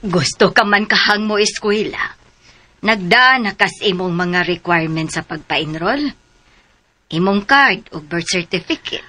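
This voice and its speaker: Bright, practical aunt. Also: female voice, mid-range voice, unhurried. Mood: questioning, dismayed. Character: practical, helpful older female relative of a schoolgirl. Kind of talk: radio drama acting.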